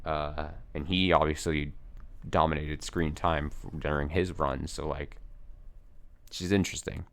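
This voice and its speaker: A man's voice: very deep voice, steady tone, a little slow, a bit bright. A man hosting a let's play, casually rambling and reading the dialogue aloud, his voice slightly worn.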